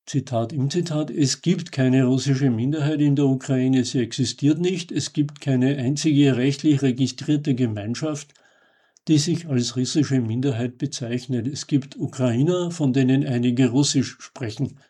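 A man reading a scripted commentary as a radio host, in a measured, formal and emphatic way. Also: mid-range voice, mellow, breathy edge.

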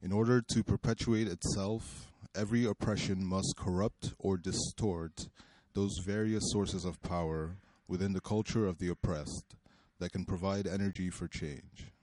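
A man from Cameroon introducing a panel talk and reading a text aloud, pausing often with ums. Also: sits low, fairly even intonation, mellow, no room sound, rough recording. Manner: halting, with many ums. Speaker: panel speaker and reader.

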